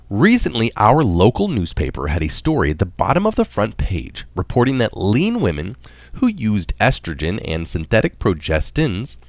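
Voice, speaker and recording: A man's voice: lowish voice, no echo. A man reading a written article aloud in the manner of a narrator. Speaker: reader of recorded articles.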